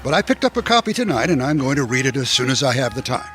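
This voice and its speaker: An uneasy small-town doctor in a radio drama. His medium-pitched voice sounds stiff, earnest and easily affronted. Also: big pitch swings, quick pace, fairly bright tone, faint music behind. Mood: polite, uneasy. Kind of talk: radio mystery drama acting.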